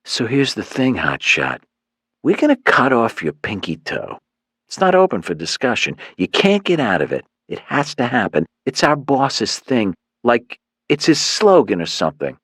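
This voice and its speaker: A man's voice: mid-range voice, wildly swooping pitch, normal speed, fairly bright tone, breathy edge, dry recording, studio quality, pitched above the performer's own voice, exaggerated voice. Brief: man playing a swaggering, dim gang leader, threatening but easily sidetracked, in an audiobook. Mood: menacing. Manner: cocky, slangy, menacing. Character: swaggering male thug leader, chewing toothpick, dim but menacing.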